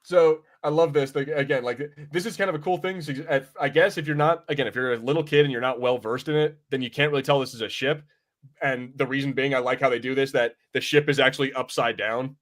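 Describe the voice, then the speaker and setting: A man's voice: mid-range voice, fairly even intonation, quick pace, a bit bright. A man with a mid-range voice hosts a casual review podcast. He rambles loosely from point to point. He often slips into imagined quotes to make his case. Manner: rambling, acts out imagined lines. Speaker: podcast host.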